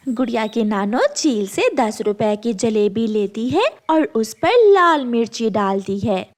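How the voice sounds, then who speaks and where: A woman's voice: fairly high pitch, lively intonation, unhurried. A woman reading scripted narration for a children's animal story.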